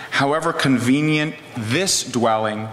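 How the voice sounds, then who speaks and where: Slow-speaking American minister in echoing church. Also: male voice, mid-range voice. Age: middle-aged. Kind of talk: introducing and reciting poetry at a pulpit. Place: large wood-panelled church, indoors. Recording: echoey church hall, loud background noise.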